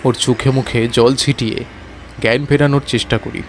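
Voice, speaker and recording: A man's voice: medium pitch, fairly even intonation, moderate pace. Male horror storyteller over background music. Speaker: narrator and character in an audio story. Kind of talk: dramatic first-person horror narration.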